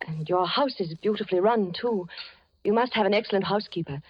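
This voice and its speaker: An actress playing a polite, curious young woman in a 1940s radio drama, gracious and gently probing. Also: mid-range voice, brisk, slightly rough, no echo, natural voice. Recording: dry, dull 1940s radio recording with faint music.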